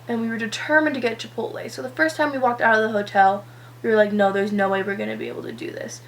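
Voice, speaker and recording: Hoarse-ish teen girl vlogger, high-leaning voice, rambling casual chat, faint hum behind. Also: fairly even intonation, fast talker. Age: teenager, 17. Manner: rambling, lots of "um" and "like". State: slightly hoarse from a cold. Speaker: beauty vlogger. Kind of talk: chatty get-ready-with-me vlog.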